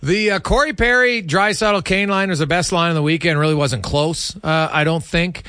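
A man hosting sports radio, chatting casually in a halting, conversational way. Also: fairly high pitch, bright, forceful tone, clean tone, no room sound, clean studio sound.

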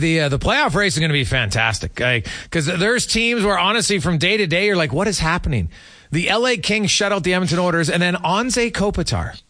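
Male sports radio host, higher-pitched and forceful, chatty interviewer, close dry studio sound. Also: no room sound. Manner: chatty, rhetorical, piles up questions. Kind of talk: casual sports talk interview.

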